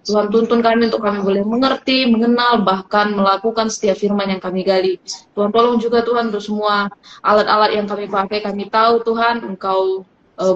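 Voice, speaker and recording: A woman's voice: medium pitch, muffled recording. A woman co-hosting an online Bible study live stream in a chatty, casual way, often laughing and restarting sentences.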